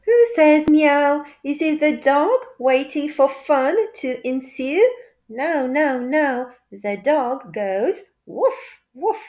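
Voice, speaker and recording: A woman with a high voice reads a rhyming picture book aloud for small children. Her delivery is very slow and deliberate, with a playful sing-song lilt suited to toddlers. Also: expressive, darkish tone. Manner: playful, repetitive question-and-answer delivery for toddlers. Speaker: children's story narrator.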